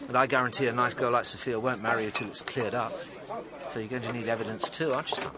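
A man's voice: mid-range voice, fairly even intonation, fast, crowd noise, lightly acted. An actor playing a wry, pressing male chief inspector in a radio drama, with a dry, blunt, needling way of talking.

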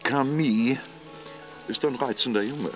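An actor playing a self-assured, condescending gentleman in a radio drama, speaking formally and patronizingly to a family he advises. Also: mid-range voice, measured pace, over loud music, natural voice.

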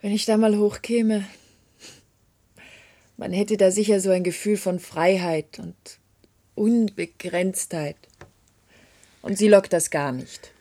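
Breezy female office colleague, mid-pitched. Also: fairly even intonation, slow, long pauses, warm tone. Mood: curious, teasing. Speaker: actress in a science-fiction radio play. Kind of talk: German radio drama acting.

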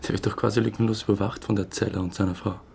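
A man's voice: fairly low pitch, fairly even intonation, racing, gravelly, a little mellow. An actor playing a diffident young theatre dramaturg who narrates his own story in a radio drama, dry and understated. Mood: brooding.

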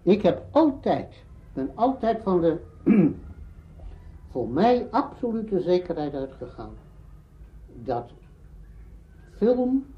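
A man's voice: high-pitched, big pitch swings, slow pace, pauses often. Muffled tape of an older Dutchman explaining. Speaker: interviewee, veteran radio drama director. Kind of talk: spoken reminiscence. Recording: muffled archive recording, faint noise.

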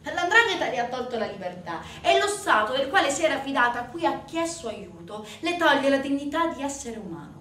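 A woman's voice: fairly high pitch, sharp tone. A woman performing a dramatic theatrical monologue as a stage actress, narrating with intense, emphatic delivery that swells and drops. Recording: roomy, distant microphone, faint noise.

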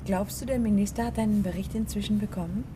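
A woman's voice: medium pitch, fairly even intonation, quick pace, close to an everyday voice. An actress playing a determined, caring and inquisitive woman in a German radio play. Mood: curious. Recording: radio play with clear background noise.

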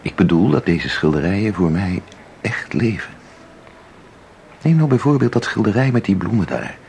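A man's voice: on the low side, expressive, moderate pace, gravelly, darkish tone, a little hiss or hum. An actor playing an intense, mysterious man in a radio drama, speaking with insistent, theatrical deliberation. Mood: dreamy, fervent. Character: intense, mysterious man who claims to enter paintings. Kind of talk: Dutch radio drama acting.

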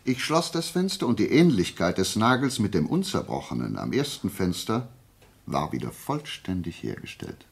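A man acting in a radio play as a shrewd detective, explaining his deductions deliberately and didactically in a low, gravelly, husky voice. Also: sing-song, exaggerated voice. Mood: measured, precise. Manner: deliberate, didactic, checking in with short questions. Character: shrewd amateur detective methodically explaining a murder case.

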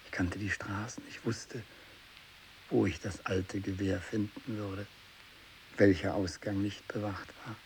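An actor playing a tormented, fanatical renegade missionary who worships evil, in a feverish radio drama monologue from the 1960s. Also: male voice, fairly even intonation, unhurried, low-quality recording. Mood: weary, feverish. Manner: feverish, swinging from hushed whispering to shrill ecstatic cries. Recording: hissy 1960s radio recording.

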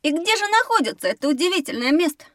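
A woman's voice: sits high, sing-song, fast talker, fairly bright tone, no echo, studio quality. A woman voicing an eager, curious young schoolboy in a children's educational audio drama. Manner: inquisitive, enthusiastic.

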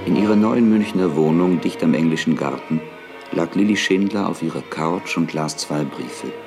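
A man's voice: low-pitched, moderate pace, music in the background. A man reading scripted literary narration for a radio drama.

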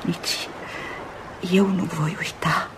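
An actress playing a fragile, wistful young woman in love in a Romanian radio play, soft and dreamy. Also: fairly low pitch, fairly even intonation, background noise, natural voice. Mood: wistful, tearful. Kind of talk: Romanian radio theatre acting.

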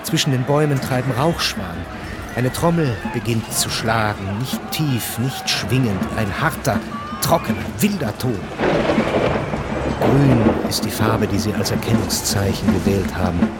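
A man's voice: lowish voice, a little slow, natural voice. A man reading scripted narration for a radio drama. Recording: narration mixed with loud music and effects.

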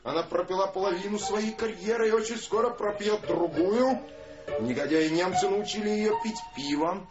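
Man playing indignant ranting husband, high voice, declamatory, theatrical, echoey room, music behind. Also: dull audio, natural voice. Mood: bitter indignation. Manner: declamatory, exclaiming, drawn-out emphasis. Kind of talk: theatrical monologue, radio play.

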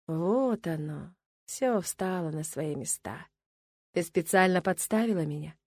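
A woman voicing a betrayed wife in an audiobook, speaking in a quiet, controlled way with wounded defiance. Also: fairly low pitch, fairly quick, no room sound, close to an everyday voice. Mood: hurt, restrained. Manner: quiet, controlled, breathy near-whispers. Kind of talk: dramatic audiobook character dialogue.

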